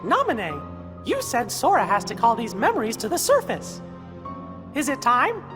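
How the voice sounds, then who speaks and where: An actor playing a small, kindly cartoon mouse king in a video game, with an earnest squeaky falsetto. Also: huge pitch swings, moderate pace. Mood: earnest, concerned. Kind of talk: video game cutscene acting. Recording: long reverb with music.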